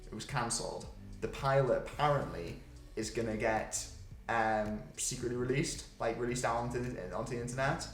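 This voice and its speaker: A man's voice: mid-range voice, moderate pace. A man co-hosting a podcast, talking casually and conversationally. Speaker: podcast co-host. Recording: echoey room, music underneath.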